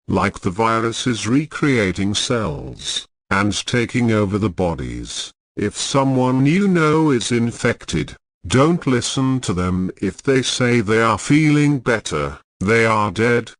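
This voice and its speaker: A man's voice: mid-range voice, dry recording. A man reading a scripted emergency broadcast announcement in a formal, solemn manner.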